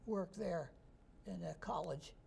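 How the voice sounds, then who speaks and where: A man in his sixties from New Jersey, a history professor answering interview questions in a relaxed, thoughtful way. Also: sits high, slow, gravelly, a little hiss or hum. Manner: relaxed, thoughtful, chuckles easily.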